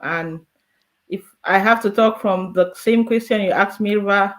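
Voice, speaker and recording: A woman's voice: mid-range voice, a little slow. A woman in her thirties with a Cameroonian accent, answering interview questions in a conversational, storytelling way. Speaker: guest, immigrant in politics.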